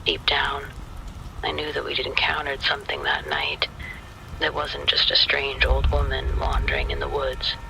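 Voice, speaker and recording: A man narrating a scripted spooky story in the first person, in a hushed, deliberate, suspenseful manner. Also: mid-range voice, vocal fry, background noise.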